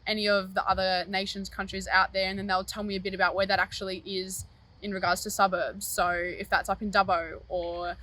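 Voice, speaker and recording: A young Australian woman answering interview questions in a measured, patient way. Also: medium pitch, little pitch movement, pushed and bright. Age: young adult.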